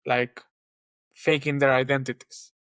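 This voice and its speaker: A man with a Spanish accent, a guest on an interview podcast, thinking aloud in a relaxed way with many restarts. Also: mid-range voice, measured pace, clean tone, no echo. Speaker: guest engineering manager. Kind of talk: casual interview chat.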